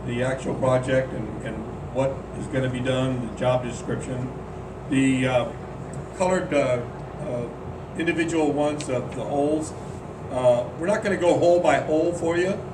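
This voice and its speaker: A man leading a club meeting, speaking haltingly with frequent "uh"s. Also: medium pitch. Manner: halting, lots of "uh" fillers. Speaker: club board member chairing meeting. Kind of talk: meeting announcement. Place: meeting room at a golf club. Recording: roomy, distant mic, background hum.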